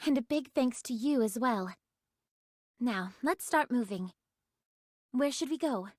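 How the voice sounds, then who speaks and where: An actress voicing a stern, fiercely protective squad fighter in a game cutscene, terse and commanding. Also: female voice, a little high, lively intonation, unhurried, darkish tone, no room sound, clean studio sound. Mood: calm. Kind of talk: scripted game cutscene acting. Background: background music.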